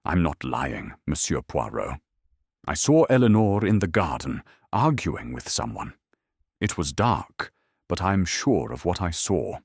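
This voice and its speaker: A man's voice: extremely low pitch, very animated, a little slow, husky, no echo, studio quality, full character voice. A man voicing a nervous male witness who insists anxiously on his account in an audio drama. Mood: anxious, defensive.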